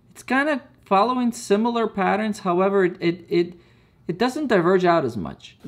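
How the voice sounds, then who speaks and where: A man in a casual product review, speaking at an ordinary middle pitch. He hesitates often, repeating words and adding fillers as he goes. Recorded close indoors at a table. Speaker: chess set reviewer.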